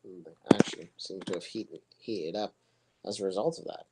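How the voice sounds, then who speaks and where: A young man giving relaxed, rambling live play-by-play for a soccer match, his voice low and monotone, with chatty asides between plays. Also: normal speed, very noisy. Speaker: soccer commentator. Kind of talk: casual live play-by-play commentary.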